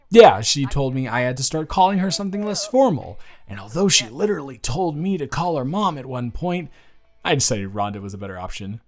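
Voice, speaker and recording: Man acting a playful, animated young best friend. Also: medium pitch, lightly acted. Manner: casual, playful, slangy. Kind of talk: romantic roleplay audio drama.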